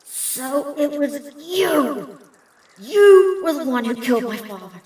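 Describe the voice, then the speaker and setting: Wounded, defiant young female avenger for audio drama, acted by a woman; slow delivery, distant echoey sound. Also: high-pitched, expressive, a little mellow. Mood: accusing, anguished. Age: young adult. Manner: emotional, defiant. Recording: roomy, distant, faint music behind.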